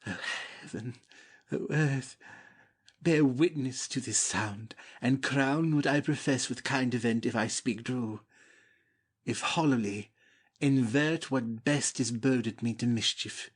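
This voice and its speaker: A man acts a lovestruck young prince in a Shakespeare audiobook. His mid-pitched voice is husky and breathy around the edges, and he declaims his devotion with fervent, gallant humility. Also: slow. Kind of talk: dramatic Shakespeare audiobook reading.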